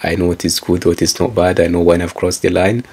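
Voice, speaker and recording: A man's voice: low voice, little pitch movement, brisk, darkish tone, slightly rough. A man whose first language is Kikongo, giving a rambling motivational monologue and addressing listeners like a presenter. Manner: rambling, circles back with repeated phrases. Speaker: author and speaker.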